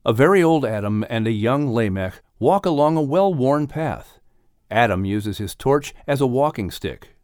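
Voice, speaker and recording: A man reading a scripted audiobook story as its narrator, with expressive delivery. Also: medium pitch, moderate pace, no echo, studio quality.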